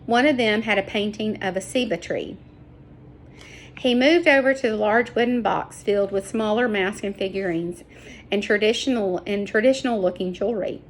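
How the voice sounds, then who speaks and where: Lady reading a chapter book out loud, expressive, normal pitch, slightly noisy recording. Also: normal speed. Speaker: storybook reader. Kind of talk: scripted narration, children's book read aloud.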